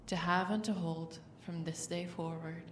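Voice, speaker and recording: A woman playing a calm, formal royal wedding officiant in an audio drama, speaking in measured, solemn phrases. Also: lowish voice, lightly acted. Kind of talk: audio roleplay drama acting. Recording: echoey, distant mic, faint noise.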